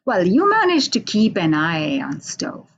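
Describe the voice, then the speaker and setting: A woman from India with an Indian accent, a Hindi native speaker, giving a halting, unhurried poetry reading over a video call from a radio station office. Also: lowish voice, huge pitch swings, highs cut off, slight background noise. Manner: unhurried, halting, with many fillers. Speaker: poet.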